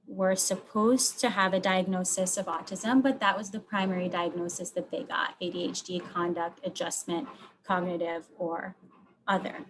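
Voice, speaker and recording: Measured woman researcher presenting. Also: on the low side, a little hiss or hum, low-quality recording. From Lebanon. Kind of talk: explanatory research talk with slides.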